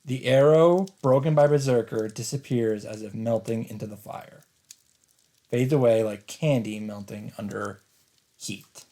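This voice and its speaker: A man reading visual novel narration aloud as a let's player, with deliberate dramatic pauses. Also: mid-range voice, warm tone, poor audio. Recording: game sound and noise behind.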